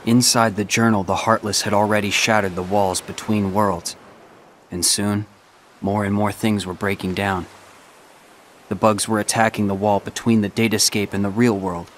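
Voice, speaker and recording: A man's voice: lowish voice, level tone, moderate pace, slight background noise, natural voice. A young man playing a brooding, self-sacrificing teenage boy warrior in a game cutscene, grave and restrained. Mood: somber, earnest. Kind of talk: video game cutscene acting.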